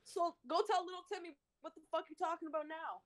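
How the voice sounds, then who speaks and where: A woman's voice: very high voice, fairly even intonation, fast talker, no room sound. A young woman with a Toronto accent on a video call, sassy and swearing freely as she fires back in casual banter. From Canada. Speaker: guest on a video chat.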